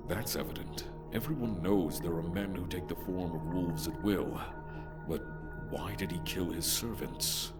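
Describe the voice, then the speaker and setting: An actor playing a huge, blunt, fierce barbarian warrior in a fantasy audio drama, speaking tersely and matter-of-factly. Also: male voice, deep voice, unhurried, distant mic, over loud music.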